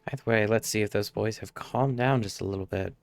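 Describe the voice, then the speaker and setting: A male game streamer with a lowish voice that often drops into fry. He mutters casual live commentary to himself in a dry, close recording, with faint game music behind him. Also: a little fast, no echo.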